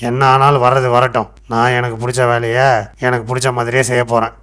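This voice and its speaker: A man voicing a frustrated, stubborn character in an animated moral story, speaking in an aggrieved, emphatic way. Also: mid-range voice, quick pace, smooth, natural voice. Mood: resolute. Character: frustrated, stubborn man defending the work he wants.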